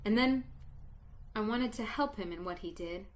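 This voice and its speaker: A woman reading a story aloud as narrator, in a slow, expressive telling that builds to exultant emotion. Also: mid-range voice, slight background noise.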